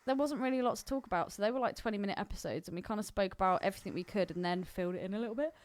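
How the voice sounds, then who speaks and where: A woman's voice: medium pitch, racing, dry recording. A woman co-hosting a film podcast in casual, playful, teasing banter. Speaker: podcast co-host.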